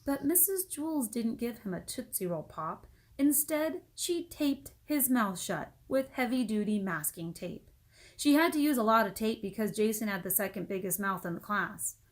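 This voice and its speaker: A woman reading a children's storybook aloud as an expressive narrator. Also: a little high, normal speed. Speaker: storybook reader.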